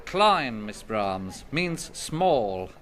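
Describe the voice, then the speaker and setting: A man's voice: medium pitch, very animated, very slow, a bit bright, slight background noise, exaggerated voice. A man playing a pompous, dignified, stiffly superior floorwalker, pedantic and formal, in an amateur radio comedy.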